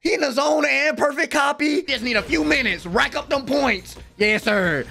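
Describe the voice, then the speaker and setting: A young American man giving excited, slangy, exclamatory live reaction commentary at a close desk mic in a room at home. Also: very high voice, moderate pace, vocal fry. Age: young adult. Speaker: reaction video host. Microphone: close desk microphone.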